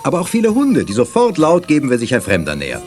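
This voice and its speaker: Middle-aged father, soothing yet a bit stern, very animated pitch, radio-play delivery. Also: fast talker, faint music behind. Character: calm, teasingly stern middle-aged father of two children. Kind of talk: German children's radio play acting.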